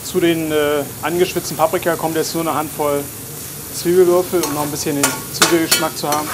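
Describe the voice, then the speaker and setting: A young man, a chef, calmly explaining a dish step by step with a few filler pauses, on a lapel mic. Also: on the high side. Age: young adult. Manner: relaxed, plain explaining with frequent filler pauses. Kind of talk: cooking demonstration. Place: garden, greenhouse and restaurant kitchen. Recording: sizzling kitchen noise behind. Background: kitchen noise and sizzling pan.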